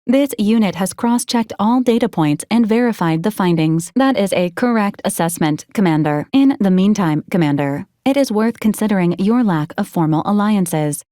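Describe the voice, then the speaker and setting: A woman playing a formal, dutiful female ship's AI in a video game, speaking in a measured, clipped, impersonal way. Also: mid-range voice, animated, warm tone, dry recording, clean studio sound, lightly acted. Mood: formal, matter-of-fact. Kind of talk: scripted video game voice acting.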